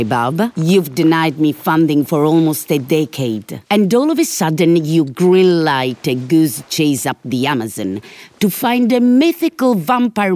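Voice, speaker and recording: A woman's voice: low-pitched, darkish tone. A woman playing a defiant, sardonic scientist in an audio drama. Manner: sardonic, challenging. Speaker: moth researcher.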